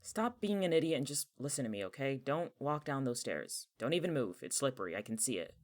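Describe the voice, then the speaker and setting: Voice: medium pitch, sing-song, fairly quick, natural voice. An actor in a naturalistic audio drama playing an exasperated, protective young person with a casual, sardonic, blunt way of talking. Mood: urgent, exasperated. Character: exasperated, protective young person who knows the town's dangers.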